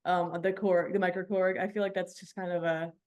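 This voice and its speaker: A woman's voice: fairly low pitch, level tone, fairly quick, a little mellow. A woman working as a radio DJ, interviewing a guest in a casual conversational style.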